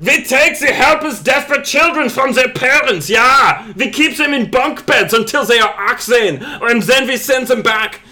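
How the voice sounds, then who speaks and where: A woman's voice: fairly high pitch, a little slow, hardly any pauses, pushed and bright, voice pushed down. A woman playing an outraged expert ranting as a fanatical German, with a German accent, in a satirical comedy. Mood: fanatical fury.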